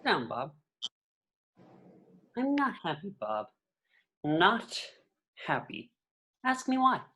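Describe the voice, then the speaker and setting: Woman acting petty pompous boss, swooping pitch. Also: big pitch swings, slow, a bit bright, exaggerated voice. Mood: stern displeasure. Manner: pompous, clipped, condescending. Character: petty, fussy, tyrannical middle-aged male office boss. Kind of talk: amateur script table read.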